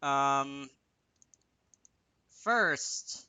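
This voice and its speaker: A man's voice: mid-range voice, animated, very slow, sharp tone, clean tone, no room sound. A man giving casual, unscripted commentary over a screen recording, hesitant and thinking aloud as he works. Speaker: game developer hosting a tutorial series.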